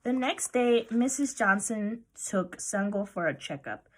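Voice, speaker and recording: Female read-aloud narrator, lively swooping intonation, expressive picture-book storytelling. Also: mid-range voice, unhurried.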